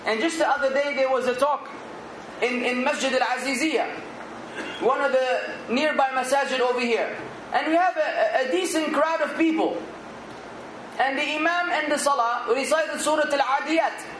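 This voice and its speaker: A man's voice: extremely high pitch, moderate pace, a bit bright, echoey room, slight background noise, poor audio. A man giving an earnest Islamic sermon, exhorting his listeners and now and then rising to emphatic outbursts. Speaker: Islamic preacher.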